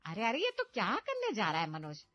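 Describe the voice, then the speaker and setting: A woman's voice: medium pitch, huge pitch swings, fairly quick, a bit bright, no echo, lo-fi sound, faint music behind. A woman voicing a cheerful middle-aged mother-in-law who loves festivals, fussy and chiding.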